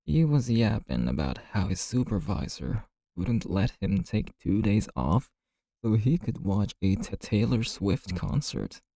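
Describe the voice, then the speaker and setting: Man acting as a friendly bartender, ASMR roleplay, very deep voice, gravelly creak, gossipy, easygoing, casual storytelling. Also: very animated, mellow, no echo, heavily acted. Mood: amused, gossipy. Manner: gossipy, unhurried, colloquial. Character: chatty, amused male bartender, easygoing and friendly.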